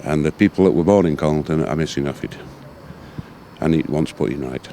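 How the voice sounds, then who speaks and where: A man's voice: extremely low pitch, brisk, warm tone, slight background noise. An older man with an English accent, speaking plainly as he recounts his research in an interview. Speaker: interviewee, local researcher and author. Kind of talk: interview recollection.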